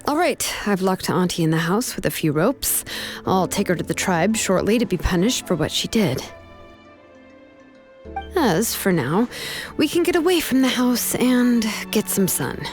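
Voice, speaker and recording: Cool young heroine, medium pitch, calm, matter-of-fact, easygoing, video game, faint music. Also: female voice, measured pace. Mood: matter-of-fact, weary. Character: cool, composed, capable young woman protector.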